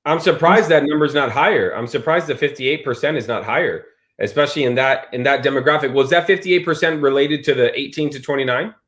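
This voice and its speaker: A man in his thirties in casual chat, telling stories with lots of fillers and lively swings in his voice. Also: mid-range voice, brisk, few pauses. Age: 30s. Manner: animated storyteller, many fillers.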